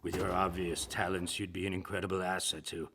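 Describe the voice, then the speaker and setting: An actor playing a pompous, lecherous middle-aged lawyer boss in a comedic radio drama, with a smarmy, fussy manner and a gravelly, husky voice. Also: male voice, deep voice, flat delivery, a little fast, a bit bright, slight background noise. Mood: smarmy, leering. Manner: smarmy, fussy, oily chuckling talk.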